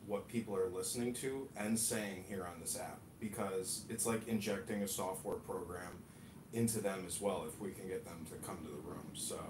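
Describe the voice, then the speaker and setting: A man's voice: deep voice, normal speed. A man hosting a podcast, talking in a rambling way, laughing and swearing casually. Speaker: podcast host. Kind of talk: casual chat. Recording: echoey room, distant mic, faint noise.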